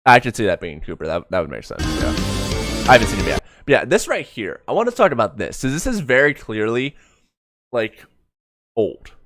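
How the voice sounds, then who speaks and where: A man's voice: mid-range voice, very animated, brisk, fairly bright tone, soft background music. A man giving casual reaction commentary as a streamer, rambling and often correcting himself mid-thought. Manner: rambling, trailing self-corrections. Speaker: streamer reviewing an animated comic.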